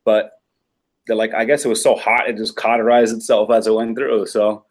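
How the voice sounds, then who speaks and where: A man's voice: fairly low pitch, little pitch movement, normal speed. A man, a police officer guest on a podcast, telling a story in a loose, rambling way with many fillers. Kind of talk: casual chat.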